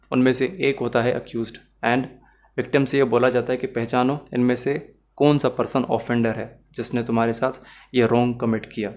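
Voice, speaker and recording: A man with a Pakistani accent teaching as a law lecturer, explaining step by step in a didactic way and slipping between Urdu and English phrases. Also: medium pitch. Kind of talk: explanatory lesson with worked examples.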